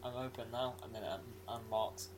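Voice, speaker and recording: A man's voice: mid-range voice, a little fast. A man co-hosting a casual podcast over a call, bantering and laughing often. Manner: giggly, lively banter. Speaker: podcast co-host.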